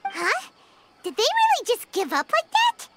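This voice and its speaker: A tiny fairy girl for a video game. The voice is extremely high and childlike, swinging up and down with cheeky excitement. Music plays behind it. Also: measured pace, heavily acted. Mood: surprised, curious.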